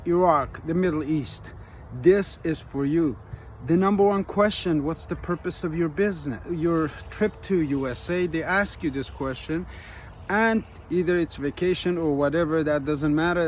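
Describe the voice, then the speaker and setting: Earnest middle-aged man, street noise behind. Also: fairly high pitch. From Iran. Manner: earnest, plain-spoken, slightly halting. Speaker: business coach. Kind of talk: direct-to-camera advice video. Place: outdoors in an urban area.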